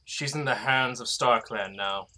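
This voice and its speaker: A man voicing a fierce, commanding dark warrior cat in a dramatic fan-made audiobook, stern and accusing. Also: mid-range voice, unhurried. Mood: solemn, stern.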